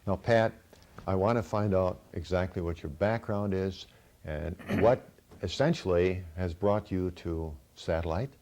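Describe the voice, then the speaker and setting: A man's voice: deep voice, a little slow, darkish tone. A man hosting a TV program, reading phone numbers and interviewing a guest. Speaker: host.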